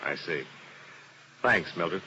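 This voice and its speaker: A man's voice: low-pitched, animated, takes it slowly, pushed and bright, heavily acted. An American actor playing a cool, wry male insurance investigator in a 1950s radio drama, speaking in a terse, dry, matter-of-fact way. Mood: businesslike. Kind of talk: 1950s radio detective drama acting and narration. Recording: dull, noisy old radio recording.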